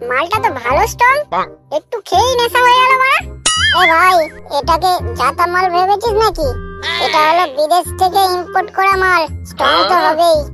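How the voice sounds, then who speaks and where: Man voicing squeaky, cheeky young cartoon village lad. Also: expressive, fairly quick. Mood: bantering. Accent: West Bengal. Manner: bantering, foul-mouthed. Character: cheeky, boozy young village men, slangy schemers. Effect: pitch-raised cartoon voice. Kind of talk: crude comedy cartoon dialogue. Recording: loud music over the voice.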